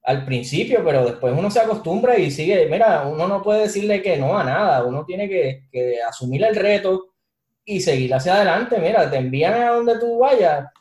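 A man co-hosting a podcast, telling a story in a relaxed, encouraging way with casual slang. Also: sits high, normal speed. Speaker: podcast co-host, IT instructor. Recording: roomy echo.

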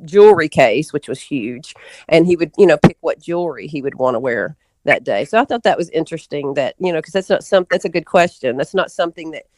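A woman's voice: sits low, animated, brisk, darkish tone, dry recording. Woman reminiscing in a rambling way, dry sound. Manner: rambling, often backtracking mid-sentence. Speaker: interview guest. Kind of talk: casual interview storytelling, reminiscing.

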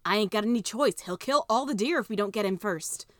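Casting: woman playing a gruff young country lad for an audiobook, folksy and stubborn, big-brother type. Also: mid-range voice, animated, brisk, no echo, clean studio sound, voice pushed up, natural voice. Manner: folksy, plainspoken. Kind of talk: children's audiobook character voice.

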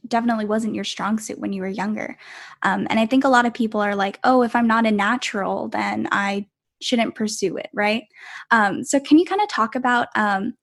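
A young woman hosting a podcast, upbeat, filling her questions with "um" and "kind of". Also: medium pitch. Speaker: podcast host. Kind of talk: scripted intro, then casual interview.

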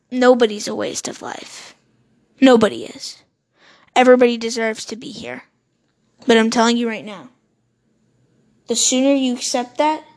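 A high-pitched young woman in her teens talks straight to her listeners. She rambles earnestly, trips over words and drops swear words and self-mocking jokes as she goes. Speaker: podcast host. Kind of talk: solo motivational podcast monologue.

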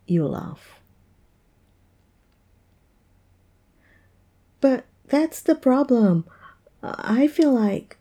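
A woman's voice: on the high side, mellow, exaggerated voice. A woman acting an oversensitive young woman in an intimate audio roleplay, speaking to her partner in a hesitant, plaintive way. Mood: hurt, upset. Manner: hesitant, plaintive, halting delivery. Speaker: roleplay voice performer. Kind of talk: intimate audio roleplay, one-sided dialogue.

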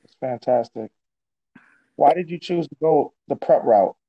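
Slightly high-voiced host, lo-fi. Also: male voice, slightly rough. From Boston. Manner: chatty, halting restarts. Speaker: podcast host. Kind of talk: casual interview. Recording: dull lo-fi sound.

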